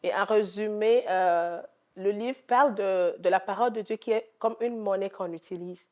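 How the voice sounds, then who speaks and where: A woman's voice: medium pitch, normal speed, muffled recording. A woman from Togo with a Togolese French accent, answering interview questions in an earnest, devout way as a gospel singer.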